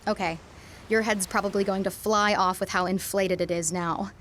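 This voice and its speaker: A woman plays a romantic teenage girl in a radio drama, confiding in the one she loves with playful teasing. Her voice sits at a medium pitch, with ambient noise behind it. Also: fairly even intonation, moderate pace, a bit bright, close to an everyday voice. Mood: teasing, grateful.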